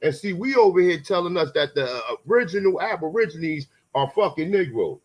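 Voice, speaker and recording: A man's voice: fairly high pitch, lively intonation, fairly quick. A man co-hosting a stream, ranting angrily and swearing heavily. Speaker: co-host. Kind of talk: heated profane commentary.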